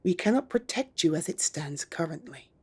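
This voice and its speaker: A woman voicing a stern female werewolf council elder who speaks firmly and sometimes drops to a hush. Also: fairly low pitch, animated, normal speed, slightly rough. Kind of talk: amateur audio drama acting.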